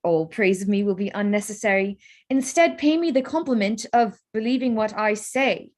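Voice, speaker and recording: An actress playing a spirited young gentlewoman in a staged reading of a period drama, polite, formal and firm. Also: mid-range voice, animated, normal speed, close to an everyday voice. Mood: firm, exasperated. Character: spirited, frank, self-possessed young gentlewoman.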